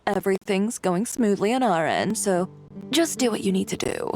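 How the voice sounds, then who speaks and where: A woman's voice: mid-range voice, animated, normal speed, darkish tone, faint music behind, lightly acted. A woman voicing an easygoing, teasing film crew member in a video game, with a casual, breezy and reassuring manner.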